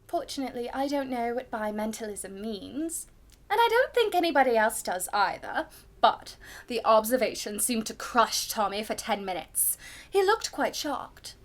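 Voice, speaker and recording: A woman's voice: fairly high pitch, a bit bright. A woman performing a witty, pampered young society lady in a comic stage monologue, with an arch, mock-indignant manner and formal phrasing. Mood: smug, exasperated.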